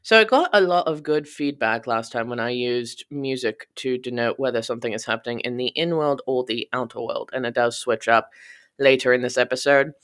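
Podcast narrator: theatrical, sardonic, wordy, mid-pitched voice, smooth and clear, recorded close and dry. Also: fairly quick, a bit bright, no room sound. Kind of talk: dramatic scripted storytelling, reading a blog.

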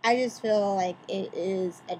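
A woman's voice: mid-range voice, unhurried, darkish tone, a little hiss or hum, poor audio. Opinionated woman podcast co-host. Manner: opinionated, builds arguments with rhetorical questions. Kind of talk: casual chat.

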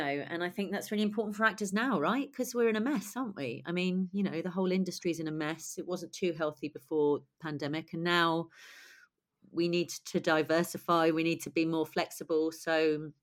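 A husky woman in her forties chats as an interview guest. She is nursing a cold, and her voice sits a little low. She talks in a lively way and laughs often. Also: moderate pace. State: has a cold. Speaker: guest writer and director. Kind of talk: conversational interview.

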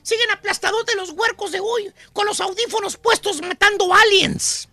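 A performer voicing a cranky, high-pitched old-school schoolmaster in a comic radio rant, preachy and slangy, full of rhetorical questions. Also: moderate pace, sharp tone, full character voice. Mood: preachy, nostalgic.

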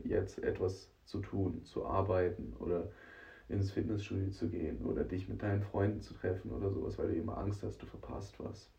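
A man hosting a casual solo podcast, hoarse and congested from a cold, with a rough, scratchy voice, chatting straight to the listener. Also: on the low side, dark tone, recorded from a distance. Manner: chatty, addresses listener directly, frequent "ne?". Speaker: podcast host.

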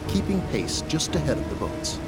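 A man's voice: mid-range voice, very animated, normal speed. A man reading scripted dramatic narration as the narrator of a story. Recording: loud music behind. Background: loud music.

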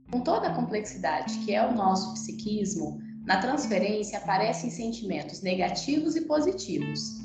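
A Brazilian woman hosting a podcast, presenting and interviewing guests. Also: mid-range voice. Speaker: podcast host. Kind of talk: scripted introduction and interview. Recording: roomy echo, music behind the voice. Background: music.

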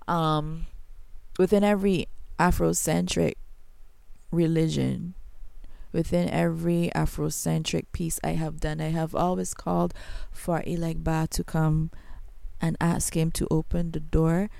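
A woman's voice: sits low, flat delivery, a little slow, vocal fry, dark tone, dry recording. A woman from Barbados with a Barbadian accent, hosting her own podcast and telling a personal story in an earnest, reflective way. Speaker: podcast host. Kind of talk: solo podcast monologue.

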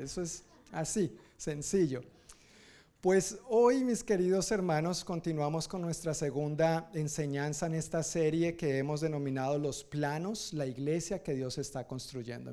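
A man's voice: a little high, mellow. A man speaking as a pastor giving informal, jokey church announcements to a congregation, often correcting himself.

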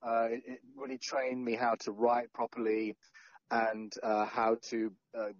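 A man in his forties, raised in Sri Lanka and North Wales, talking about his career as a guest in a podcast interview. He speaks in a relaxed, self-deprecating way with joking asides. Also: mid-range voice, unhurried, no room sound, lo-fi sound. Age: 40s. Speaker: podcast guest, journalist and founder. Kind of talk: casual interview conversation.

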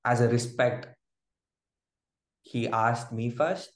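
A man recounts a personal story as an interview guest. He talks in an animated way and laughs often. His voice carries a noticeable room echo. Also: mid-range voice, takes it slowly. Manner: animated, laughing, restarts while telling a story. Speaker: guest, groom-to-be. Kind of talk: casual storytelling. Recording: roomy echo.

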